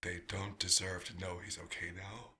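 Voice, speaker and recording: Guy doing a brooding vampire doctor for asmr rp, crisp bright edge, low range of pitch, dramatic and musing. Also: level tone, moderate pace, a little hiss or hum, full character voice. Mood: wistful, worried. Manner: musing, dramatic, slipping into breathy whispers. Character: nostalgic male vampire doctor, brooding, theatrical, proud. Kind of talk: ASMR roleplay monologue.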